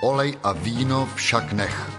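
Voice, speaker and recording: A man voices a solemn heavenly being proclaiming over music. The pitch is medium, and the delivery belongs to a dramatized scripture reading. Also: lightly acted. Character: solemn heavenly voice announcing famine prices.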